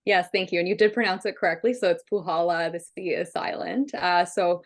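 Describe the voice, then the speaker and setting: Confident woman defence lawyer, lowish voice. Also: steady tone, brisk. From Ontario, Canada. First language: Hungarian. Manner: confident, talks in run-on clauses with frequent fillers. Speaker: criminal defence lawyer, guest. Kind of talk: casual interview answers.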